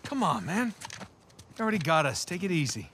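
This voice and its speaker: An actor playing an earnest, anxious American adventurer in a video game, speaking in an urgent, imploring way. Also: male voice, fairly high pitch, big pitch swings, a little fast, very noisy. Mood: pleading, bargaining. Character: earnest, anxious male adventurer pleading and bargaining. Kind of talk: video game cutscene acting.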